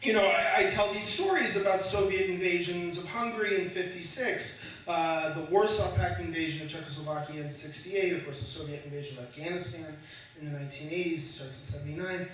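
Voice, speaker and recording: A man's voice: high voice, fairly even intonation, fairly quick, a bit bright, a little hiss or hum. A male historian speaking as a guest at an academic panel, hesitant with many fillers and restarts, dry humor. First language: Slovak spoken at home as a child. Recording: echoey room, far from the mic.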